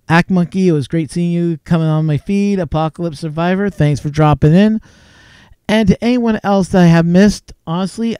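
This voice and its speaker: A man's voice: on the high side, dark tone, clear, resonant tone, no room sound. A man from Canada, hosting a late-night live stream from his home desk, chatting casually and rambling with chuckles. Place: home desk crowded with gear. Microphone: desk mic with a mixer.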